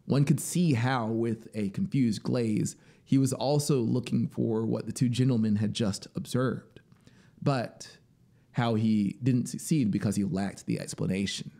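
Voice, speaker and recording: A man in his thirties reading a story as dramatic, deliberate, expressive audiobook narration, close on the mic. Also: medium pitch, gravelly. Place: small home music studio, indoors. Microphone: broadcast mic on boom arm, close. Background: ambient synth soundscape noise.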